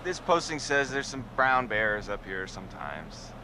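A man's voice: medium pitch, sing-song, a little slow, noisy background. A cocky joking young guy. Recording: game audio with loud outdoor ambience.

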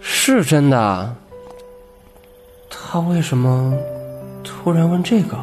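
A young man playing a gentle, shy student quietly in love, soft-spoken and wistful, in an audio comic drama. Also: a little high, expressive, takes it slowly, over music. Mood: flustered.

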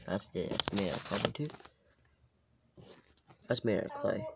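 A man casually naming items as he films a cardboard model house on a handheld phone indoors, in short halting phrases. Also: very noisy. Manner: halting, plain listing of items, some low mutters. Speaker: vlogger showing a cardboard house. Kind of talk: casual show-and-tell narration. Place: indoors, small home room. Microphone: handheld phone camera.

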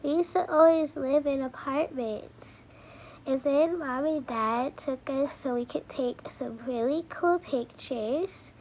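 A woman's voice: sits high, slow pace, a little mellow. A woman voicing a kindly, hesitant mother in her thirties in an amateur cartoon doll story. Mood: hesitant, polite. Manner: hesitant, polite. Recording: clearly noisy home recording.

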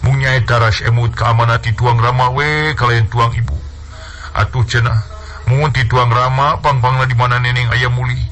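A male storyteller playing a long-winded village uncle who lies smoothly to his niece. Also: medium pitch, little pitch movement, normal speed, fairly bright tone, a little hiss or hum, lightly acted. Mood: wheedling. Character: lying middle-aged village uncle, smooth-talking.

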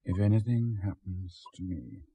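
An actor playing a frantic, overwrought man in a 1970s radio drama, with a breathy, husky voice. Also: low-pitched, normal speed, mellow, no echo, exaggerated voice, low-quality recording. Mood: muttering, dazed. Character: overwrought man named Richard, frantic and raging.

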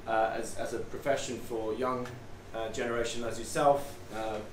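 A man's voice: mid-range voice, fairly even intonation, normal speed. A middle-aged British man who works as a bartender and artist, giving an informal talk to a group and often repeating words as he goes. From United Kingdom. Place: small bar, indoors. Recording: roomy, distant mic, noisy bar ambience.

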